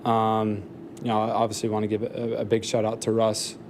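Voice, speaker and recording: A young man in his twenties answering questions at a postgame press conference, soft-spoken with many fillers. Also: lowish voice, monotone, brisk, a little hiss or hum. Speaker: football player at a press conference.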